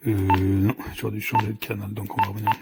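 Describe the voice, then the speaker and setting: A man giving an unscripted, step-by-step product demonstration as a reviewer, with a hesitant, halting delivery and frequent self-interruptions. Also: fairly low pitch, level tone. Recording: clearly noisy recording with clicks.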